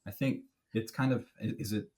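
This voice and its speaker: A man's voice: fairly low pitch, fairly even intonation, a little fast, a little mellow. A man hosting a podcast interview, asking questions in a conversational, reflective, somewhat hesitant way. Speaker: podcast host.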